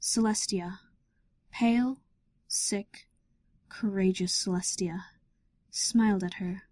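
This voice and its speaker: A woman narrates a dramatic story. Her pitch is medium and her delivery is very slow and measured. The recording is dry, with no room sound. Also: fairly even intonation, a little mellow, dry recording.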